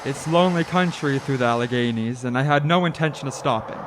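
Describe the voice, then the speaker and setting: An actor playing a frightened man of thirty-six who tells his story with halting, dramatic urgency in a radio play. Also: medium pitch, background noise, lightly acted. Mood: uneasy, recounting. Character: haunted, frightened thirty-six-year-old male traveler telling his story. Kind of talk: radio play acting, first-person suspense narration.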